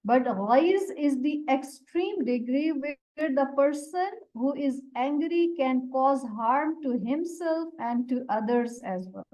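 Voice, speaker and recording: A woman whose first language is Urdu, teaching a Quran lesson and explaining Arabic words one by one in a deliberate way. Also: sits high, warm tone. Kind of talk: slow explanatory religious lesson. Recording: dull lo-fi recording.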